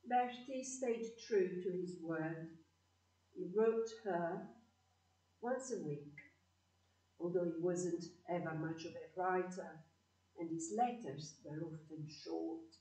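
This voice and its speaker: A woman's voice: lowish voice, takes it slowly, mellow. An older woman playing a blunt, fond relative telling a family story in a community radio play. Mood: fond, reflective. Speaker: narrator and actor in a community audio play. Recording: roomy echo, distant microphone.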